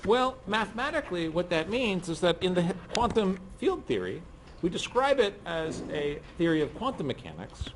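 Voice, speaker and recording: A middle-aged man lecturing on physics to a class, speaking softly and hoarsely because he has a cold and is losing his voice, with frequent ums. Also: high voice, sing-song, normal speed, a little hiss or hum. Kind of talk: university lecture. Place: lecture room.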